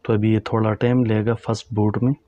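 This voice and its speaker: A man calmly narrating a step-by-step phone tutorial and talking to viewers as friends. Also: lowish voice, fairly quick, dry recording, highs cut off. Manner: calm, instructive, addresses viewers as friends. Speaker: tech tutorial host.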